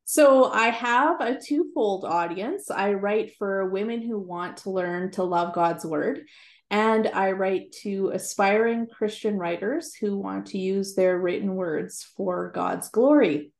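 A woman's voice: medium pitch, slow pace, gravelly. Canadian woman in an echoey room. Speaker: guest writer and Bible teacher. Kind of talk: interview conversation.

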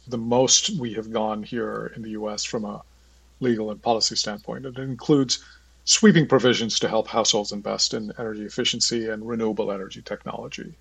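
Gravelly, husky man hosting an interview. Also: mid-range voice, fairly bright tone, no echo, far from the mic, rough recording. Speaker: podcast host and interviewer. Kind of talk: measured interview questioning.

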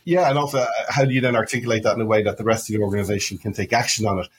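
A man's voice: fairly low pitch, fairly even intonation, very fast, a little hiss or hum. An Irish man in his fifties giving an earnest, explanatory answer in a conversational podcast interview, often saying "you know". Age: 50s. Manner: earnest, explanatory, frequent 'you know'. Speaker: interview guest, customer support executive.

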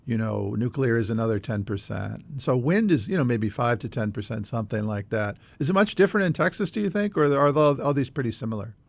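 An American man hosts a casual radio interview in a curious, conversational manner. His voice has a middling pitch with plenty of creak. The sound is close and dry, with very little room in it. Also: lively intonation, a little fast, hardly any pauses, no room sound. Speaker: host.

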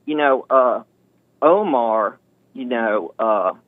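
A man in his fifties calling in, telling a story in a folksy, animated way and acting out the voices of the people in it. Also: mid-range voice, slow pace, no room sound. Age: 50s. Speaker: caller. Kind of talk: casual storytelling on a call-in show. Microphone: phone. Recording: tinny phone line.

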